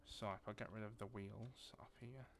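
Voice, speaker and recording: A man's voice: fairly low pitch, fairly even intonation, moderate pace, fairly bright tone, a little hiss or hum. A man with a Lancashire accent giving casual commentary as he plays a building game, thinking aloud and muttering to himself. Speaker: gaming video creator.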